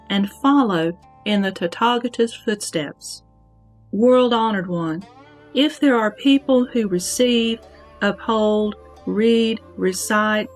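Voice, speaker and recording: Slow, reverent woman as a devout deity. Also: medium pitch. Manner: solemn, measured, reverent. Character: Sakra, devout deity and protector pledging to the Buddha. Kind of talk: scripted sutra recitation in audio drama. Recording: music bed under the voice.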